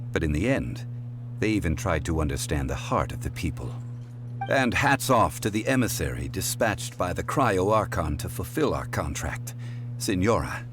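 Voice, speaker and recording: A man playing an ancient, wise god in human form in a video game, speaking in a calm, measured and formal way. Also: low voice. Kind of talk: solemn video game monologue. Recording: game music behind the voice. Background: game soundtrack music.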